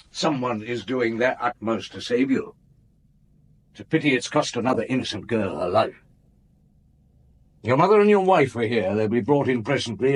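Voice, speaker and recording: An actor playing a weary, probing middle-aged police chief inspector in a radio drama, deliberate and authoritative, with a husky voice. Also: male voice, medium pitch, rough recording. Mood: stern, accusing. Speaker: lead actor and narrator in a radio detective drama.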